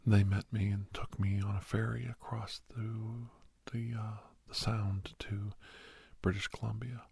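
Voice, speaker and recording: A man playing a kindly older doctor in an ASMR roleplay, reminiscing and reassuring in a soft, breathy, gravelly whisper-talk. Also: fairly low pitch, flat delivery, dry recording, heavily acted. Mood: nostalgic. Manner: slow, rambling, gentle, chuckling storyteller.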